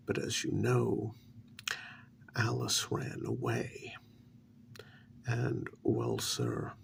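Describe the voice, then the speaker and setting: A man playing a deferential agent reporting to his superior in a soft, half-whispered ASMR role-play, with a measured, halting delivery and a gravelly, breathy voice. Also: on the low side, a little hiss or hum, heavily acted.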